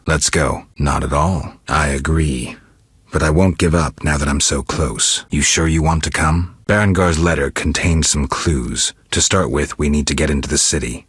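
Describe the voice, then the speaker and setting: An actor playing a stoic, gruff male monster hunter in a fantasy video game, gravelly, terse and deadpan. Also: extremely low pitch, no echo, studio quality, full character voice. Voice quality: gravelly, husky.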